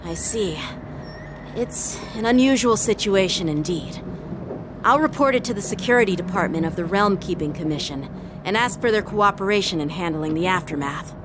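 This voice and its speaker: A young man voicing an earnest, dutiful young military lieutenant in a video game, speaking formally and with measured authority. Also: high voice, lively intonation, noisy background. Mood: measured, polite. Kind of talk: English video game voice acting. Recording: music behind the voice. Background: game music.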